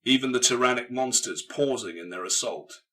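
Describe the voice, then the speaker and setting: A man's voice: mid-range voice, normal speed, bright, forceful tone, far from the mic. A man reading a grim science fiction audiobook as narrator, in an intense, theatrical delivery. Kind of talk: dramatic scripted narration of grimdark science fiction.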